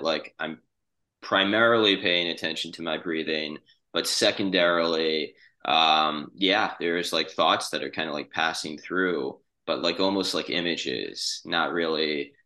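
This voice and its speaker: A man in casual podcast conversation as co-host, speaking reflectively in halting phrases. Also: low voice, pushed and bright.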